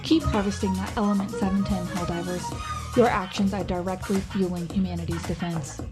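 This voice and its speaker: A woman's voice: medium pitch, steady tone, normal speed, over music, natural voice. A woman playing a patriotic wartime science correspondent, reading a scripted radio news report in an earnest, polished way. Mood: earnest, resolute. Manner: earnest, polished, newsreader delivery.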